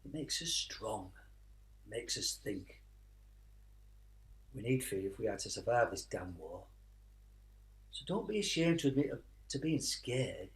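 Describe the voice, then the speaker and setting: An actor playing a weary, tender British father who fought in the trenches, speaking reflectively in a radio play with dramatic pauses. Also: on the low side, very animated. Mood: grave, reassuring.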